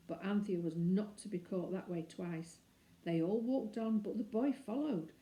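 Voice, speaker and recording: Lady reading kids story out loud, expressive, warm mellow tone, roomy echo. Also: mid-range voice. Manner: animated, dramatizes dialogue with shifting emphasis. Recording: roomy, echoing indoor sound.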